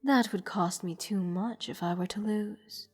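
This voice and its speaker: A woman playing a haughty, playful goddess who teases a captive mortal in an unhurried, condescending manner, in a roleplay audio drama. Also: medium pitch, darkish tone, natural voice. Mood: smug, mocking. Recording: long hall reverb. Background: music.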